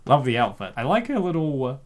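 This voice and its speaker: A man hosting casual comic commentary, jokey and given to exaggerated reactions. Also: on the high side. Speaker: host.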